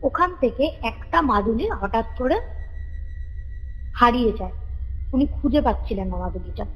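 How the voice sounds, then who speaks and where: A woman's voice: a little high, animated, normal speed. A woman tells a story in a casual, conversational way. She often repeats her phrases as she goes. Her voice rings with long reverb, and music plays behind her. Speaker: caller telling a ghost story. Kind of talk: casual spoken storytelling of a family ghost story. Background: background music.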